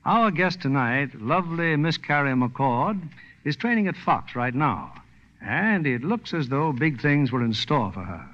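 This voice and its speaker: An American man hosting a 1940s radio show, reading scripted introductions and narration. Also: on the high side. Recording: dull lo-fi 1940s radio recording.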